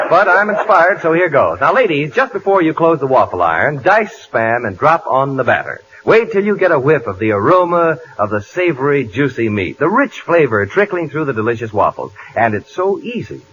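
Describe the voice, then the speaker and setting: A man's voice: on the high side, sing-song, unhurried, slight background noise. A man announcer reading a 1940s radio commercial in a salesy, persuasive manner, on a muffled broadcast recording. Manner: bright, pleading then salesy.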